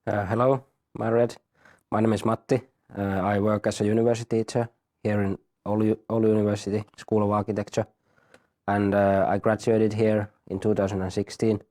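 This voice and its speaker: A man in his thirties, a university teacher, explaining a study program in a halting way, often repeating words. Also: lowish voice, flat delivery, warm tone. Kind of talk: explaining in an interview.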